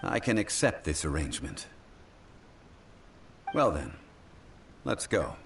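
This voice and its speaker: A man voicing a calm, dignified consultant in a video game, speaking in a formal, deliberate and authoritative manner. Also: deep voice, creaky, a little hiss or hum, full character voice. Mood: composed.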